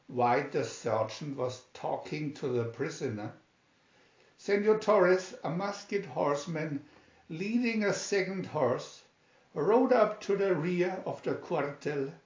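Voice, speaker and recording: A man reading a story aloud as a narrator, with deliberate, dramatic delivery. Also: a little high, lively intonation. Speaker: storyteller reading a picture booklet. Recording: dull lo-fi, echoey room.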